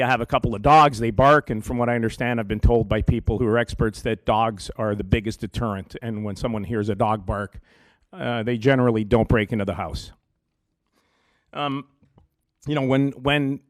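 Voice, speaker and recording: A man giving an earnest public comment at a meeting, pausing often on ums and uhs. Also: medium pitch, dry recording. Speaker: public deputant at a police board meeting.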